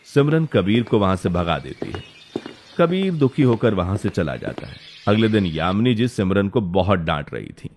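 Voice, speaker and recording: A man's voice: lowish voice, very animated, normal speed, darkish tone, a little hiss or hum. A man narrating a scripted story as its storyteller. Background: faint music.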